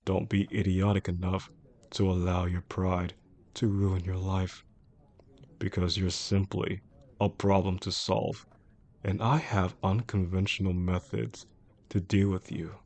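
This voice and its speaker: A man playing a possessive, menacing boss in a romantic audio roleplay, speaking in a controlled, formal way with a gravelly voice. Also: sits low, flat delivery, a little mellow. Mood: cold, threatening. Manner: controlled, deliberate, formal wording. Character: possessive, menacing male boss obsessed with an employee.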